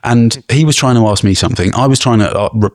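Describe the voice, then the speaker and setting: A young man co-hosting a podcast, telling an animated story with frequent laughs and restarts. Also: medium pitch, fast talker, no room sound, studio quality. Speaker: podcast co-host. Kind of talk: casual chat, storytelling.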